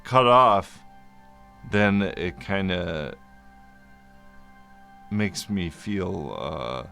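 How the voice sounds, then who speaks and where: A man giving an informal explainer as a vlogger, speaking in a halting, deliberate way with long hesitations and at times mildly slurred speech. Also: deep voice, animated, gravelly, bright, forceful tone, faint music behind. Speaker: vlogger sharing a personal medical journey. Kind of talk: informal explainer, part reading notes, part ad-libbed.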